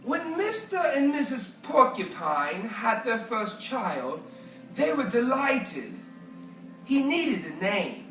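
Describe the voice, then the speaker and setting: Animated, slow-paced storyteller in an echoey room. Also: medium pitch. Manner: animated, theatrical, deliberate phrasing. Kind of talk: expressive read-aloud of a children's picture book. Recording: echoey room, distant mic, faint music.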